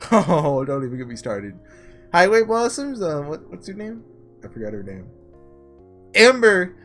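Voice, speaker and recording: A man doing a casual let's play, reading story text aloud and breaking off into excitable asides, with game music behind him. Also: fairly high pitch, measured pace, pauses often. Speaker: gaming video creator doing a blind playthrough.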